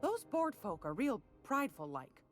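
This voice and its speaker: A woman's voice: on the high side, sing-song, normal speed, soft background music. An actress playing a folksy, sly older woman trader in a video game, sweet-talking and knowing, with a drawl. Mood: wry, confiding. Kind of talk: video game character dialogue.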